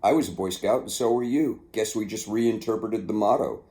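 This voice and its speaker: An older man telling stories from his own memoir, with an unhurried, halting delivery. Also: fairly low pitch, mellow. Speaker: author narrating own memoir. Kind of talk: casual storytelling, then reading aloud from a book.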